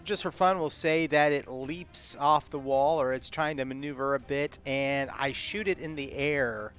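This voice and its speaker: Slow, hesitant male player. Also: medium pitch, fairly bright tone, clear, resonant tone, soft background music. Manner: slow, hesitant, full of filler words. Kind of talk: casual tabletop play.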